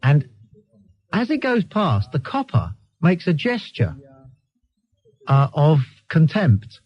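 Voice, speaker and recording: A man's voice: on the high side, wildly swooping pitch, takes it slowly. An English man hosting a live radio phone-in, bantering and telling anecdotes in a cheeky, teasing, sardonic way. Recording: dull lo-fi radio broadcast recording.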